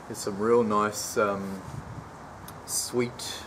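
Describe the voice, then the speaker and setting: Laid-back man with a lowish voice. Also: poor audio. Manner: relaxed, chatty, frequent "um" pauses. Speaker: homebrew channel host. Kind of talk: casual beer review vlog. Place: sunny backyard patio, outdoors. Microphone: camera mic at close range, later handheld while walking. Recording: outdoor background noise.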